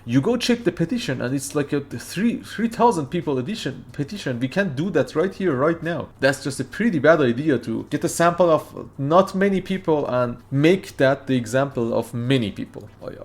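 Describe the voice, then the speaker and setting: A man whose first language is Farsi, hosting a casual reaction video and arguing his point in a rambling, excitable way with many fillers. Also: a little high, moderate pace, hardly any pauses.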